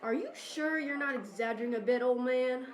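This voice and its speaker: Lady acting as a detective in an audio play, earnest, full of questions, high voice. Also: a little fast, echoey room, close to an everyday voice. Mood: businesslike. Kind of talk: amateur audio drama acting.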